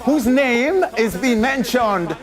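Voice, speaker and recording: A male television host with a Jamaican accent presents in a notably high voice. Music plays clearly behind him as he reports in broadcast style. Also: lively intonation, slow pace, low-quality recording.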